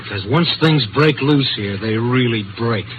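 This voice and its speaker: An actor in a 1950s radio drama playing a tough, wary police officer, curt and commanding. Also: male voice, medium pitch, moderate pace, loud music behind the voice, natural voice. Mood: tense warning. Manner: curt, barking orders. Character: brusque, wary Chicago beat cop, tough and commanding. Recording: noisy muffled old radio recording.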